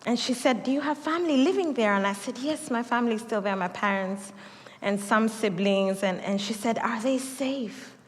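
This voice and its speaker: Kenyan-accented woman, pastor, lively sermon, higher voice, long church reverb. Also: normal speed. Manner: animated storytelling with laughs and acted quotes. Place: church sanctuary.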